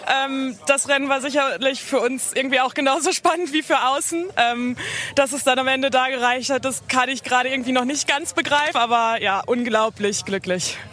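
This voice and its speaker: A young woman athlete giving an excited post-race interview, laughing and rushing her words. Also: high-pitched. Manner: excited, laughing, breathless rush.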